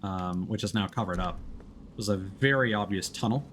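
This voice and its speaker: A man's voice: lowish voice, normal speed. A man giving casual, explanatory live commentary as he streams a video game, in a conversational way. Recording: loud game noise behind voice. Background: video game sound.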